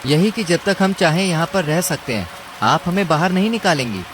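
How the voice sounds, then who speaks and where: A man's voice: a little high, expressive, a little fast, fairly bright tone. A man voicing a worried, polite male mouse in a cartoon story, earnest and pleading. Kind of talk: Hindi cartoon story dialogue. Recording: clear rain and ambience noise.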